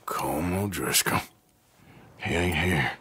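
An actor playing a gruff, commanding American gunman of the Old West in a western video game, blunt and bossy. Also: deep voice, takes it slowly, noisy background. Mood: angry. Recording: music behind the voice.

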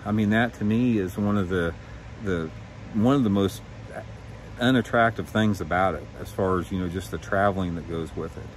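Male, 50s, lowish voice, frank vlog delivery, outdoor recording with faint background noise. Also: darkish tone. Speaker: professional angler and vlog host. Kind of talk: candid opinion vlog, talking to camera. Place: suburban backyard, outdoors.

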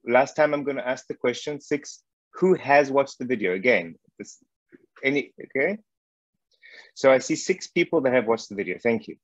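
A man from South Africa in his thirties lectures informally on a video call. His voice is medium in pitch, with a hesitant, gently joking way of talking. The sound is close and dry but a little muffled. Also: normal speed, dry recording. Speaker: guest lecturer. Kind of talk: informal online teaching talk. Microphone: wireless earbuds on a video call.

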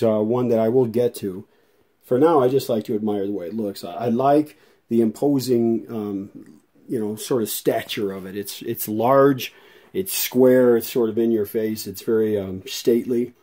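A man casually narrating a hands-on demonstration as host, folksy and unhurried, thinking aloud as he goes. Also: medium pitch, mellow. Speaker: video host and radio restorer.

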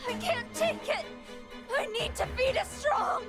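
A woman playing an unstable, ravenous, disdainful female android in an anime game, with theatrical, drawn-out delivery. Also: extremely high pitch, over music. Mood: strained. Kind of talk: anime game dub acting.

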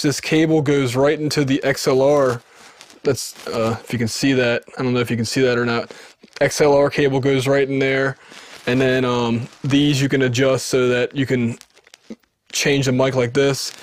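A man's voice: medium pitch, dry recording, studio quality. A man in his thirties with a sibilant voice, casually showing his gear to the camera in a small wood-paneled room, often restarting his sentences. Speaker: home recording video host. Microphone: studio dynamic mic off camera.

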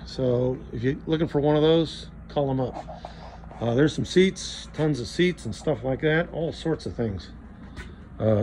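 A man's voice: mid-range voice, darkish tone. Chatty older man touring a parts warehouse. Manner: chatty, enthusiastic, pointing things out with fillers. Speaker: vlog host. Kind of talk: casual walkthrough commentary. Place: large indoor parts warehouse. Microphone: handheld phone camera. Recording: noisy warehouse ambience.

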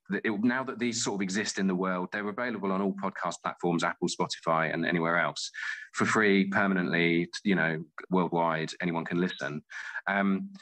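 A man's voice: sits low, sharp tone, rough recording. A middle-aged man chatting in an interview as a guest, often rephrasing as he thinks aloud. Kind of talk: casual interview talk.

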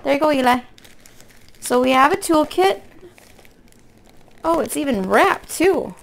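A woman with a hoarse voice from being sick, chatting casually in a folksy, rambling way as a craft vlogger. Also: sits high, animated, measured pace, slight background noise. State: hoarse from illness with a cough. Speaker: craft vlog host. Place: indoor room at a table.

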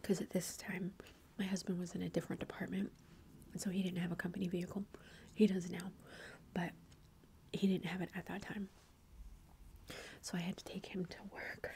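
Woman, thirties, whispered chatty storytelling, flat delivery, faint background hiss. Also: on the low side, normal speed, creaky, pauses often. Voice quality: whispering throughout. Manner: chatty, many fillers like "like". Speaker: vlogger.

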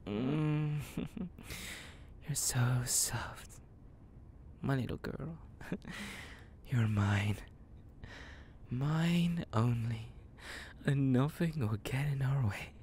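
Guy acting a creepy possessive psycho kidnapper, audio roleplay, slow doting whispery talk, giggly, bit of background noise. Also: medium pitch, darkish tone. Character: psychotic possessive young male kidnapper, obsessively doting, menacing.

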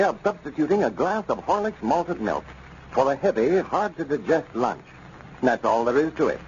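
A man's voice: on the high side, a little mellow. A man with an American accent reading a scripted advertisement as a polished, formal announcer on old-time radio. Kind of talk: 1930s-40s scripted radio advertising read. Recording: dull, noisy vintage radio recording.

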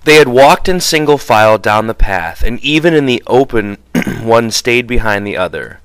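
A man's voice: mid-range voice, expressive, moderate pace, a bit bright, smooth, no room sound, poor audio. A man reading a novel aloud as scripted audiobook narration. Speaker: audiobook reader.

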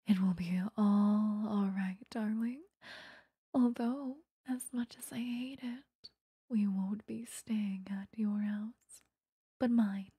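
A woman voicing an obsessive, sweetly menacing vampire lover in a breathy, whispered ASMR roleplay, close to the microphone. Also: mid-range voice, mellow, dry recording. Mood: coaxing, affectionate. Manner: slow, intimate, coaxing, threatening. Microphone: close microphone, dry.

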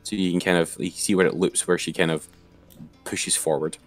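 A man's voice: sits low, level tone, a little fast, faint music behind. A man giving an informal, step-by-step screen-share tutorial as an instructor.

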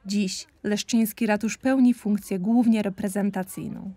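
A woman delivers scripted documentary narration. Her voice is mellow and relaxed, at a middle pitch. The sound is dry, with no room around it. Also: a little slow, no room sound.

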